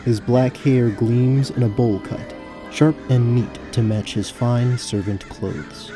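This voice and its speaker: A man narrating a scripted fantasy tabletop story as game master in a measured, descriptive way. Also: mid-range voice, steady tone, over music.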